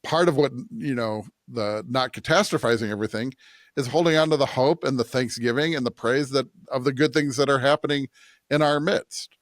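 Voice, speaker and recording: Halting, thoughtful American man, dry podcast sound. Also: medium pitch, no room sound. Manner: halting, thinking aloud, often rephrasing and checking with "right?". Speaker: podcast co-host, preacher. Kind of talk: conversational religious discussion podcast.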